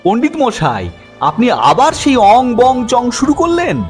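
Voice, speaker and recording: A man voicing a fussy, excitable, impatient king in a comic cartoon, whiny and exclamatory. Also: very high voice, a little slow, faint music behind, full character voice. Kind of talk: cartoon comedy dialogue.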